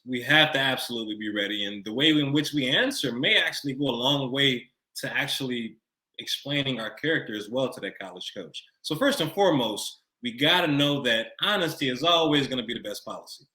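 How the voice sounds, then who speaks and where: An American man with a bright, forceful voice in a roomy, echoing space. He coaches the listener like an expert advisor, stressing key points and repeating them for emphasis. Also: medium pitch, animated, a little fast. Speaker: college recruiting expert. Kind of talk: advice to camera for students.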